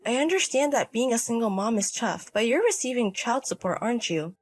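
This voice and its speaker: A woman's voice: fairly high pitch, animated, fairly quick, faint music behind. A woman voicing a stern older mother and grandmother in a story drama, scolding and firm. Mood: concerned, questioning. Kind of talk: acted dialogue in a narrated drama story.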